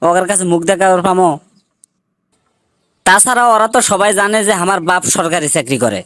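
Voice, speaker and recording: A man's voice: sits high, animated, brisk, noisy background. A man playing a cheeky college boy. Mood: defiant. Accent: North Bengal Bangla. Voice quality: cheeky, sing-song at times. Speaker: channel narrator. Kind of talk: cartoon dialect comedy acting.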